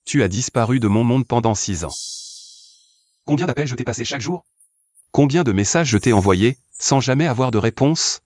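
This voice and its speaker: A man's voice: medium pitch, lively intonation, moderate pace, a little mellow, no echo, studio quality, close to an everyday voice. Man dubbing a cold commanding CEO. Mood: longing. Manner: curt, commanding orders. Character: cold commanding young male CEO, lovesick. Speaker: cold company boss. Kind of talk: dubbed drama acting.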